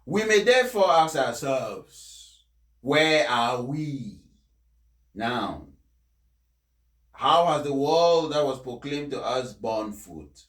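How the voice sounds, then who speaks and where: A man who speaks as a presenter reading aloud to followers, in a deliberate, emphatic and formal way. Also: medium pitch, sing-song, a bit bright. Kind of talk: formal read-aloud address with informal introduction. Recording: echoey, reverberant room.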